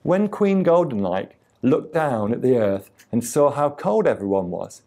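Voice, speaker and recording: A man reading a children's tale aloud as a storyteller, with deliberate, expressive delivery. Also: medium pitch. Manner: deliberate, expressive reading with acted sound effects.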